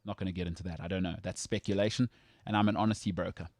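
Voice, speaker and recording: A man's voice: sits low, fairly even intonation, racing, a little mellow, no echo, studio quality. A man hosting a casual sports talk show, jokey and rambling as he plugs the show. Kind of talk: casual radio chat.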